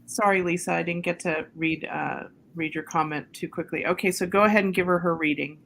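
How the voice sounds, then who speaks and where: A woman's voice: lowish voice, fairly even intonation, a little fast, creaky, a bit bright, a little hiss or hum. A woman hosting a livestream over a video call, hesitant and full of ums as she waits for viewer comments. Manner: hesitant, many ums while waiting for comments. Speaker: livestream host.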